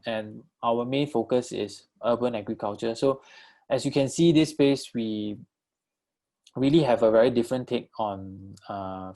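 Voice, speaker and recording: A man from Malaysia presents his venture in a low, gravelly voice. He talks in a halting way, with pauses, fillers and restarts, and he takes questions from an online audience. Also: dark tone. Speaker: co-founder presenting his enterprise. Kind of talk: virtual talk with live questions.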